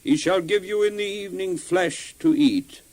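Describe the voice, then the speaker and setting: An actor playing a stern, solemn prophet who addresses his people in a declamatory, deliberate way in a children's audio Bible drama. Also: high voice, a little mellow, lightly acted. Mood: solemn, proclaiming.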